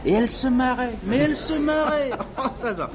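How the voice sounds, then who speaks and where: A chatty, excitable man-about-town in stage comedy. His medium voice swings up and down as he tells things. A busy crowd surrounds him. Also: very high-pitched, normal speed. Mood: animated, rueful. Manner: animated storytelling. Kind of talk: French stage comedy acting.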